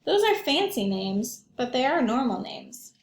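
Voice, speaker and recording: Woman reading as a high-voiced, spirited storybook boy. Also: big pitch swings, unhurried. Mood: proud, eager. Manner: earnest, emphatic storybook narration. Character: spirited young boy longing for his own name. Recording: echoey room.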